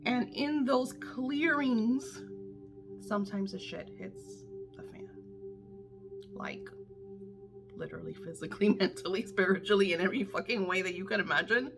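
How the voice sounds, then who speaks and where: A woman's voice: medium pitch, expressive, moderate pace, long pauses, over music. A middle-aged woman coaching her viewers in casual, candid talk, emphatic and swearing freely, her voice a little worn as if she is losing it. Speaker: manifestation coach.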